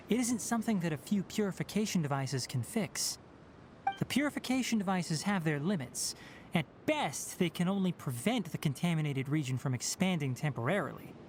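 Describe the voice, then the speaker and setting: A man's voice: a little high, background noise. An actor voicing a young, fox-eared male forest ranger in a video game, who talks in a precise, explanatory way. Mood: focused. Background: game music.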